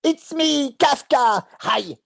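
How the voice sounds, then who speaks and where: A woman voicing a friendly, excitable young beetle in a preschool cartoon, playful and theatrical. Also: high-pitched, takes it slowly, vocal fry, dry recording, studio quality, full character voice. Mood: cheerful greeting. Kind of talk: animated cartoon table read.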